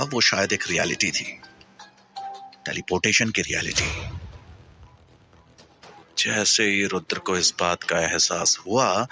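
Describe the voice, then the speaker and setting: Bright, forceful male story narrator. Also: fairly low pitch. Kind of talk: dramatic scripted audio-story narration.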